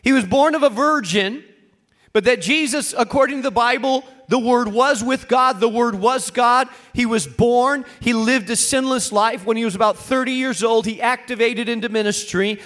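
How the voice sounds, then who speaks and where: A man preaching a sermon as a pastor, speaking in short, weighted phrases with dramatic pauses and emphatic repetition. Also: very high voice, a bit bright.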